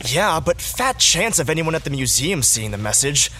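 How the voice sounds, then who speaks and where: A man's voice: a little high, big pitch swings, normal speed, bright, forceful tone, slight background noise. A man playing a sarcastic, pessimistic wisecracker in an audio drama. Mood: sardonic. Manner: sarcastic, quipping.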